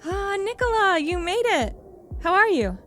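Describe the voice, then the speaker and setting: A woman's voice: very high-pitched, sing-song, a little slow, a bit bright, heavily acted. A woman playing a cheeky, giggly woman who is secretly an alien in human skin, in an audio drama. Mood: bubbly.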